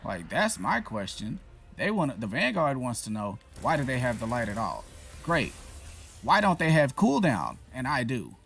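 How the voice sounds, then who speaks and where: Man gamer commentary, low gravelly voice, game music behind. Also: huge pitch swings, normal speed, a bit bright. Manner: frustrated, profane, talks to himself. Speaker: gaming YouTuber. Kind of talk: casual gameplay commentary.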